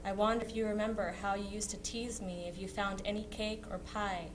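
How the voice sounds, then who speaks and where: A woman's voice: mid-range voice, little pitch movement, a little fast, close to an everyday voice. A woman reading as a chatty, teasing sister writing to her soldier brother in a period letter dramatization. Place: live historical society presentation. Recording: noisy live recording with hum.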